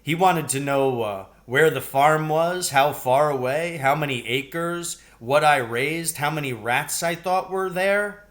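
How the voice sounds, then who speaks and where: A man's voice: on the high side, slow pace, hardly any pauses, a bit bright, pitched above the performer's own voice. A man voicing a practical farmer father in a storybook, folksy and matter-of-fact. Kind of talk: acted storybook dialogue.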